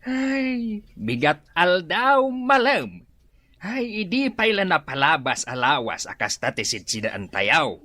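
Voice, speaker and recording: A woman's voice: fairly low pitch, sing-song, slow pace, sharp tone, no room sound, heavily acted. A woman playing a scolding, grumbling parent of a poor household in an Ilocano radio drama, with an Ilocano accent. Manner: scolding, exclamatory.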